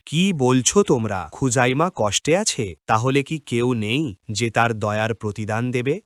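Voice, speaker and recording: A male actor portrays a compassionate, high-minded governor. His voice is middling in pitch, with the dignity of a secret benefactor in an animated moral tale. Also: a little slow, close to an everyday voice. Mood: shocked concern. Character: compassionate, noble governor who gives in secret. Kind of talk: dramatized Islamic cartoon story.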